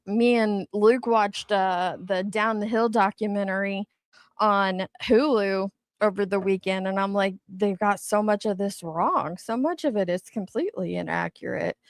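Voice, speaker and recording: A woman's voice: medium pitch, unhurried, no room sound, studio quality. A woman giving casual, candid commentary as an online show host, speaking emphatically and swearing mildly.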